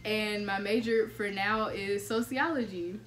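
A young woman from Tennessee hosting a relaxed group chat with friends, laughing easily and using slang. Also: medium pitch, unhurried, a little hiss or hum. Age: young adult. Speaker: host. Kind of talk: casual chat. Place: dorm room with string lights.

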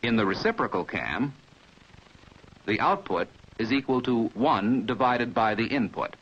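An American man reading a scripted 1950s training film narration in a measured, precise, didactic manner, as heard on an old film soundtrack. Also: medium pitch, expressive, a bit bright, husky, highs cut off.

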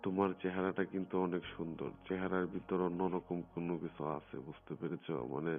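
Low-voiced coaxing man, muffled phone recording. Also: level tone, fast. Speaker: phone caller in a recorded conversation. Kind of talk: private phone conversation.

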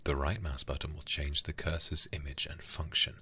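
Very deep-voiced man murmuring ASMR. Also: level tone, moderate pace, husky. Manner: intimate, hushed, often whispering. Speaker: livestream host playing a video game. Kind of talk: ASMR livestream, soft murmuring and whispering. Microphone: close ASMR microphone.

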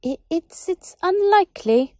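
A woman voicing a nervous small male wizard who stammers in a high, anxious voice. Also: expressive, measured pace, dark tone, dry recording, clean studio sound.

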